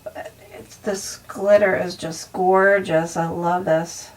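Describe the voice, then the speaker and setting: A woman with a slightly hoarse voice, narrating a casual art tutorial softly and unhurriedly, with frequent ums and pauses. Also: fairly low pitch, flat delivery, far from the mic.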